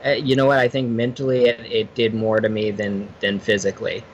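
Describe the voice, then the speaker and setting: Man, lower voice, interview guest with faint background noise, halting speech. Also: flat delivery, lo-fi sound. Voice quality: halting, repeats words. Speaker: podcast guest, retired fighter and photographer. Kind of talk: casual interview.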